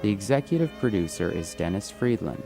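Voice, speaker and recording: A male announcer with a very low voice delivers a scripted closing read. He is formal and orderly, as if for end credits, while violin music plays clearly underneath. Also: lively intonation, brisk, dark tone.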